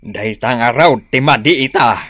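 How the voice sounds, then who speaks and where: A man's voice: mid-range voice, normal speed, a bit bright, no echo, lightly acted. A man playing a skeptical, easygoing village husband with an Ilocano accent, casual and conversational in a radio comedy-drama.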